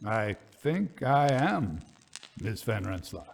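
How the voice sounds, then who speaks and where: A man playing a grave British colonel in his forties, speaking slowly and deliberately in a ghost story. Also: lowish voice, sing-song, creaky, heavily acted. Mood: grave, reluctant.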